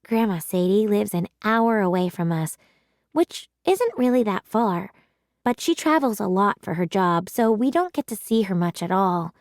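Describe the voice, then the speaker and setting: A woman narrating a children's story in an animated, emphatic storytelling manner. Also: medium pitch, normal speed, dry recording, clean studio sound. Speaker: read-aloud storyteller. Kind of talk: expressive children's audiobook narration.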